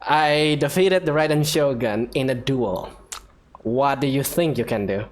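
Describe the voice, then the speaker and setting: A woman's voice: a bass voice, unhurried, slight background noise. A woman doing casual gameplay commentary, speaking in a blunt, informal way as she plays along with the dialogue. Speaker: gaming streamer. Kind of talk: casual gameplay commentary, reading player lines aloud.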